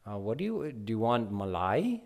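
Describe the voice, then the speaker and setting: A man's voice: lowish voice, very animated, normal speed. A man voicing village aunties who coax and question a fussy little boy. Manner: questioning, coaxing, slightly raised voice. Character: village women, fussing aunties asking a child.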